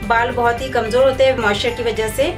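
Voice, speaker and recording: A young woman calmly walks through a hair care routine step by step, speaking like a beauty vlogger in a small room. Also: fairly high pitch, quick pace, far from the mic, music in the background. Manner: calm, instructive, steady. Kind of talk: step-by-step tutorial.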